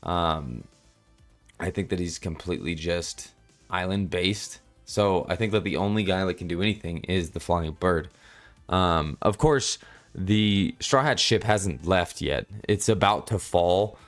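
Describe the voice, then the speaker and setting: A man giving casual, unscripted commentary as a reviewer, rambling and halting as he goes and often repeating himself. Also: low voice, soft background music. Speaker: manga chapter reviewer.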